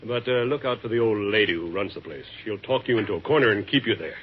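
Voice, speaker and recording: An actor playing a worried, weary police chief, terse and businesslike, in a 1950s radio drama. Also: male voice, fairly low pitch, animated, quick pace, natural voice. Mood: wry. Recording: dull, noisy 1950s radio recording.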